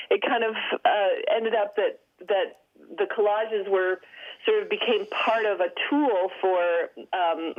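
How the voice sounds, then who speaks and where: A woman guest on a radio talk show, speaking casually with fillers and easy laughs over a phone line. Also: medium pitch. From United States. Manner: chatty, laughs easily, hesitant fillers. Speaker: guest actress. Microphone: telephone.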